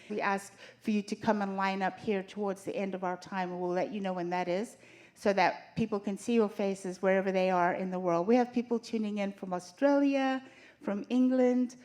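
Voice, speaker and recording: A woman from Zimbabwe presents a church seminar with a middle-pitched voice. She introduces her colleagues and walks the audience through the program in a clear, orderly way. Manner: warm, organized, introduces speakers and lays out the agenda. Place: church hall.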